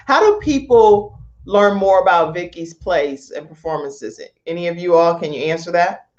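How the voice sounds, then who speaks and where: A woman hosting a casual live online talk show in a chatty, expressive, informal way over lo-fi call audio. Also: lowish voice, moderate pace. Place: live video stream from a studio setup.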